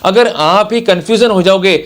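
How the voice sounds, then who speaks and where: Male lecturer, notably high voice, emphatic and instructive, addressing online viewers directly. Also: moderate pace, fairly bright tone. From India. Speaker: lawyer giving a law lecture.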